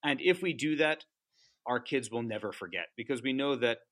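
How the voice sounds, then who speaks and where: A man talking about teaching as a podcast guest, animated and passionate, often asking "right?". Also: mid-range voice, normal speed, no echo. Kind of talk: casual interview chat.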